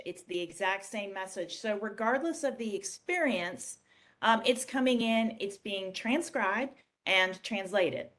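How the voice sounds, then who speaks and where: A woman giving a casual software demonstration in a conversational, explanatory way. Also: on the high side. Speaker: software demo presenter. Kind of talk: casual screen-share product demonstration.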